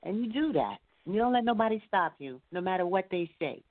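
A woman's voice: medium pitch, sing-song, a little fast, no room sound. A woman talking casually and colloquially as an interviewed singer, with an encouraging, emphatic way of speaking. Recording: muffled phone-like line.

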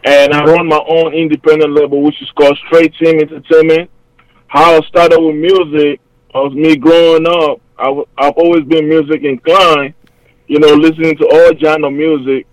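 A man's voice: on the high side, level tone, no room sound, poor audio. A man with a Cameroonian accent, a hip-hop artist talking as a guest on an interview show, upbeat and rambling with plenty of fillers. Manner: upbeat, talks in quick rambling phrases with many fillers. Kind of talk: casual interview over a remote line.

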